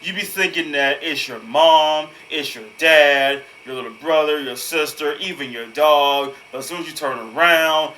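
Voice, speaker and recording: A man's voice: a little high, pushed and bright, slight background noise. A man giving casual, emphatic reaction commentary, repeating words for effect. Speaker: host reacting to a horror video.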